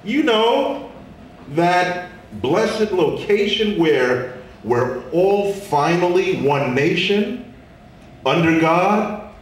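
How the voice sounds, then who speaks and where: A man performing spoken word poetry as a weary, sassy, folksy Statue of Liberty, preaching in dramatic rhymes with drawn-out words. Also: sits high, expressive, heavily acted. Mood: sassy, pleading. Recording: echoey room, distant mic, faint noise.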